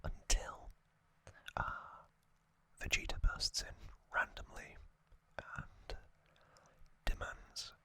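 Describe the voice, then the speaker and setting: An ASMR host whispering an unhurried, unscripted-sounding explainer in a breathy, unvoiced whisper, pausing often as they go. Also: bright, forceful tone, no echo.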